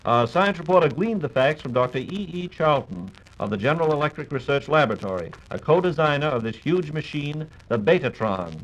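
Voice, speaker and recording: A man's voice: fairly high pitch, lively intonation, fairly quick, few pauses, poor audio. An American man presenting a scripted 1940s radio program and putting questions as its host.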